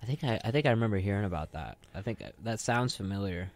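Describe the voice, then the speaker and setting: A man co-hosting a radio show, chatting casually in short, halting interjections from a studio. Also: fairly low pitch, expressive. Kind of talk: casual chat, brief interjections.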